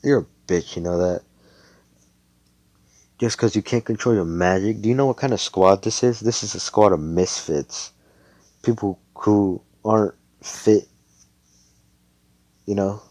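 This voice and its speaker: Man acting a cocky, deep-voiced young mage. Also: unhurried, pauses often, warm tone, lightly acted. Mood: indignant. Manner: slangy, smug. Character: cocky, blunt, foul-mouthed young male squad mage.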